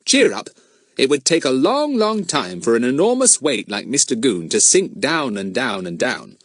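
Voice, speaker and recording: A man voicing a confident, clever boy who leads his friends, speaking briskly and with assurance. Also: mid-range voice, very animated, a bit bright, no echo, studio quality, voice pushed up. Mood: reassuring.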